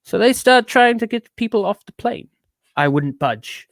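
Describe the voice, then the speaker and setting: A man's voice: high voice, lively intonation, unhurried, no echo, clean studio sound, pitched above the performer's own voice. A man voicing a bitter, neurotic ranter in a theatrical dramatic reading, with a put-on accent that shifts around. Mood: edgy, nervous bravado. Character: edgy, bitter, ranting neurotic man telling a travelogue. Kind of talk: dramatic reading of a rambling rant.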